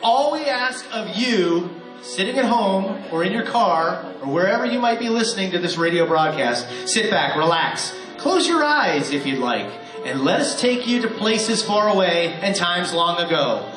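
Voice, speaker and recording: A man's voice: high-pitched, lively intonation, moderate pace. A man hosting a live radio show in front of a studio audience, rousing the crowd. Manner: upbeat, crowd-rousing announcer. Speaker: emcee host. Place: theater stage with live audience. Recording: roomy hall with music.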